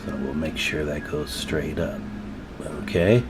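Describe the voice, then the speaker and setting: Deep-voiced man giving a casual tutorial. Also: a little slow, music in the background. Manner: folksy, informal, talking while working. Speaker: radio repair tutorial host. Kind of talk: casual hands-on tutorial narration.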